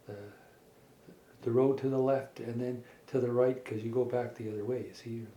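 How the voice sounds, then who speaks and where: A middle-aged man telling a made-up children's story in a halting, folksy way, repeating words as he goes. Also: medium pitch, little pitch movement, mellow, highs cut off. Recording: echoey room with faint background noise.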